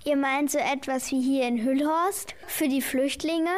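A woman's voice: high-pitched, steady tone, a little slow. A woman playing a patient Christmas angel in an amateur radio play, explaining the nativity story with careful reading.

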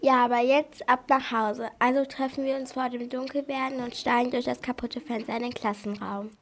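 A woman's voice: fairly high pitch, fairly even intonation, brisk, natural voice. A female performer playing a bold, practical schoolgirl sleuth in an amateur radio play for children. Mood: decided.

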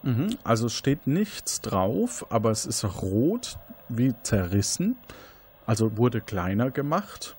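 A man's voice: medium pitch, big pitch swings, slow pace, a little mellow, slightly rough. A man, improvising scene descriptions as game master of an interactive mystery game, often correcting himself.

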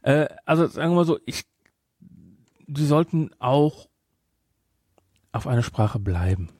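A man's voice: mid-range voice, expressive, measured pace, darkish tone, dry recording, studio quality. A man speaking as a podcast host, discussing a film casually and emphatically, repeating his points to drive them home.

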